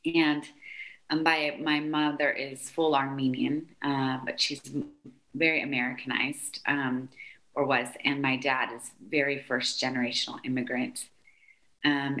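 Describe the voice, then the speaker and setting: A woman's voice: very deep voice, fairly bright tone, low-quality recording. A woman in her forties, born in Argentina and raised in California, talking openly as a guest author with frequent ums. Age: 40s. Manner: chatty, open, frequent ums. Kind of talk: casual interview conversation.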